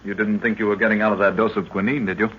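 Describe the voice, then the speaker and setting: An actor playing an earnest young adventurer, a professor's assistant, in an old-time radio drama. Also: male voice, on the low side, little pitch movement, racing, close to an everyday voice. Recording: dull, noisy vintage radio recording.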